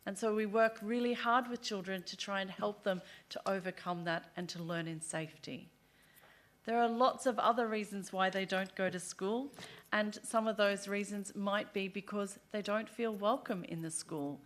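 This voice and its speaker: A middle-aged woman speaking earnestly and emphatically at a seminar, sometimes voicing children in first person. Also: medium pitch. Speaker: aid organization speaker. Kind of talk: seminar speech. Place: parliament seminar room.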